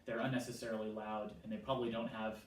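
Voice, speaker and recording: A young American man whose accent has picked up a New Zealand tinge answers interview questions at an unhurried pace, filling his pauses with ums. He sits back from the mic in an echoey room with a faint hiss. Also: on the low side, flat delivery, distant mic. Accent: American, softened toward New Zealand. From Southern California. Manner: measured, frequent ums, quotes others with animation. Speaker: interviewee, church worker.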